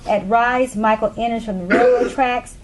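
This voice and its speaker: A woman's voice: a little high, fairly even intonation, normal speed. A woman narrating a play, reading the scripted stage directions aloud. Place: live readers theatre room. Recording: faint background noise.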